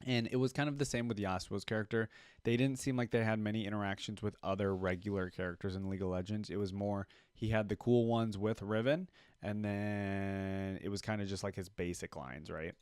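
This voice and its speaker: A man's voice: lowish voice, fairly even intonation, brisk, darkish tone, clear, resonant tone, dry recording. A man giving casual reaction commentary on game voice lines, musing and thinking aloud. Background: game music and voice clips.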